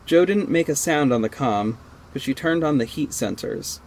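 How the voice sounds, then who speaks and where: Male lead for superhero audio drama: actor plays guarded, sardonic vigilante, mid-pitched, terse, over noisy ambience. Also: animated, gravelly. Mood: wry, brooding. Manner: measured, terse, exasperated. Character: guarded, sardonic costumed vigilante, heartbroken and stubborn. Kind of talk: first-person audio drama narration and dialogue.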